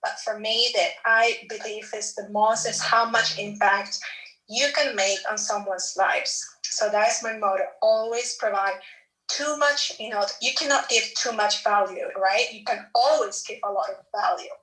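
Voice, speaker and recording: Bright-voiced Peruvian woman, roomy and distant. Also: mid-range voice. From Peru. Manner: eager, motivational, quick bursts. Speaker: online business coach. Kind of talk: live audio room chat.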